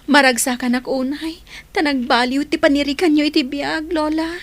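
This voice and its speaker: Woman acting a young granddaughter, high voice, affectionate, eager, Ilocano accent, radio drama. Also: fairly even intonation, moderate pace, natural voice. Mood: grateful, eager.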